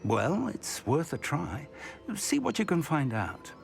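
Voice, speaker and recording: Figure: a gravelly old mentor wizard. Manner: thoughtful, guiding. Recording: soft music. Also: male voice, a little high, wildly swooping pitch, takes it slowly, exaggerated voice. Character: older male wizard professor, kindly mentor. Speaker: game character. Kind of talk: game cutscene acting. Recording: faint music behind.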